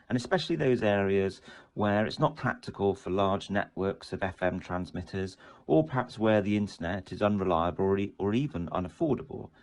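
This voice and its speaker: Male executive, very low register, measured prepared corporate statement. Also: darkish tone. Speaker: commercial director.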